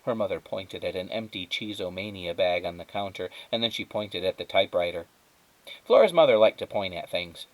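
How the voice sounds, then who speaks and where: A man reading a children's story aloud as a teacher would, with dramatic, measured phrasing and emphatic pauses. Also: low-pitched, expressive, low-quality recording. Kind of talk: expressive children's storybook narration.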